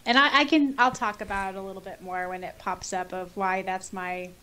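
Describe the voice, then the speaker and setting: Expressive woman podcast co-host. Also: medium pitch, fairly quick. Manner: expressive, exclamatory. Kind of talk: casual chat, reading listener comments aloud.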